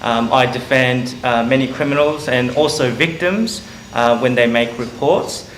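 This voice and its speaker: A man's voice: medium pitch, a little slow, fairly bright tone. A man who works as a lawyer, giving panel remarks carefully with frequent ums. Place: community forum venue. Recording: echoey hall, light noise.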